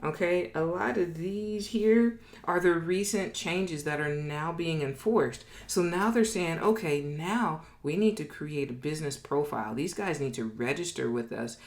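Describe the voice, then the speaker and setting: Low-pitched woman giving an emphatic explainer. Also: unhurried, hardly any pauses. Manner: conversational, emphatic, frequently checks in with "okay?" and "right?". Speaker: business educator, presenter. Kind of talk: informal explainer lesson at a board.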